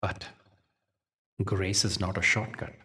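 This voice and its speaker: Deep-voiced man guiding a meditation, slightly distant. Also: level tone. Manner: gentle, measured, soothing delivery with deliberate pauses. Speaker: meditation teacher and guide. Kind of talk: guided meditation with spiritual storytelling.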